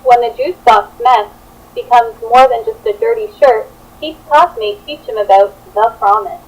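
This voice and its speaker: A woman's voice: on the high side, expressive, moderate pace, husky, muffled recording. A young adult woman reading out a scripted presentation as a student teacher. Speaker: student teacher presenting a children's book project.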